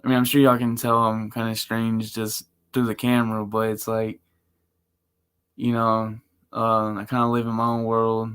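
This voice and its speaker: A man with a monotone, chill voice, rambling casually and slangily as a live streamer. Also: fairly low pitch, moderate pace, clean tone. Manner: laid-back, chill. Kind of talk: casual livestream chat.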